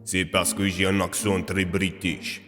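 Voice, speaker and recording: A man voicing a dry-humoured soldier in an audio fiction, deadpan in manner. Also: low-pitched, measured pace.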